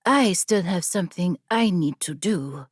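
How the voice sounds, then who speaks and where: A woman's voice: on the low side, animated, unhurried, slightly rough, dry recording, studio quality. A woman narrator voicing a determined young female aspiring warrior in an audiobook, resolute and earnest. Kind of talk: audiobook character dialogue.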